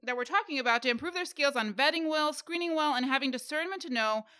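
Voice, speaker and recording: A woman co-hosting a podcast in casual chat, playful and quick to joke and giggle. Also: high voice, bright, forceful tone. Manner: playful, giggly, jokes around. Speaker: podcast co-host.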